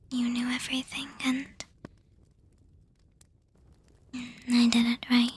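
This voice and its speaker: Slow, breathy whispering vampire girlfriend acted by a woman. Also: on the high side, no echo, full character voice. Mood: affectionate, reassuring. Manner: slow, hesitant, gently reassuring with soft hums. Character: affectionate, teasing young female vampire girlfriend. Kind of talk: whispered ASMR roleplay.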